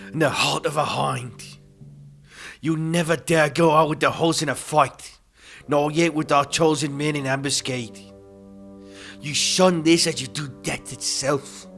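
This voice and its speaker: A man's voice: on the high side, creaky, fairly bright tone. Man acting a proud, aggrieved Greek warrior. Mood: scornful rage. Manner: declamatory, archaic formal speech. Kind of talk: dramatized audiobook acting of epic verse.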